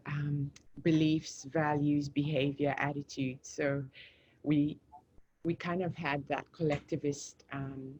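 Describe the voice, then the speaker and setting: Low-voiced woman from Zambia, relaxed storyteller, dull lo-fi video-call audio. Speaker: guest. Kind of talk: casual live-stream conversation.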